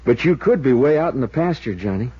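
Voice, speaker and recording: A small-town American deputy sheriff in his thirties, husky, folksy and skeptical, spare with words at a medium pitch. The old radio drama recording sounds dull and muffled. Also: sing-song, quick pace, no room sound. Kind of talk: mid-century American radio drama acting.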